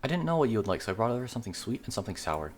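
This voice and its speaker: Male reader as shy young demi-human girl baker in a visual novel; earnest, eager, very expressive. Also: fairly low pitch, racing. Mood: eager, anxious.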